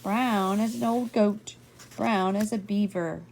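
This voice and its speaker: Older female storyteller, slow and gentle children's read-aloud, fry in the voice, homemade recording with a steady bed of noise. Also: medium pitch, low-quality recording. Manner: gentle, playful, addresses young listeners with questions and asides. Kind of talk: expressive children's picture-book reading with asides.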